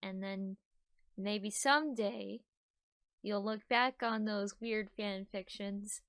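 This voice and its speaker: A woman's voice: mid-range voice, slow, smooth, no room sound, clean studio sound. A woman chatting casually and animatedly on a livestream while reading fan fiction. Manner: giggly, animated. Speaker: voice actor and streamer.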